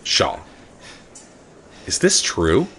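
An actor playing a stern, ruthless, sardonic official in an audio drama, blunt and gruff. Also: male voice, drawn-out words, a bit bright, a little hiss or hum.

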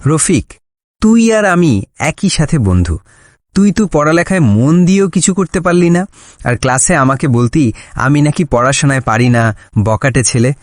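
Man as a sneering, animated village friend. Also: fairly high pitch, normal speed, dry recording, studio quality. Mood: mocking. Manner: taunting, sneering. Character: mocking scornful young village friend. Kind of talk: Bengali cartoon folk-tale voice acting.

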